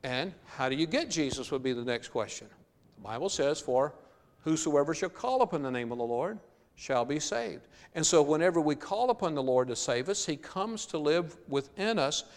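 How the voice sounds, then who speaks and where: A man preaching a sermon in earnest, slowing down and drawing out key words for emphasis. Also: on the high side, animated. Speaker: pastor. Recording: long hall reverb.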